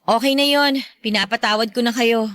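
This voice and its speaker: A woman's voice: fairly high pitch, a little fast, a bit bright, no room sound, studio quality, close to an everyday voice. A woman playing an earnest, forgiving young stepdaughter in a Filipino radio drama, with a Filipino accent.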